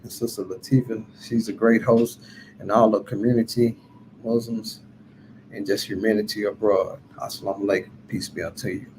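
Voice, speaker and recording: A man giving an informal religious talk as a guest speaker, with a humble, halting delivery. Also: on the low side. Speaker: guest speaker and self-published author. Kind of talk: informal public religious address and chat. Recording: steady background noise.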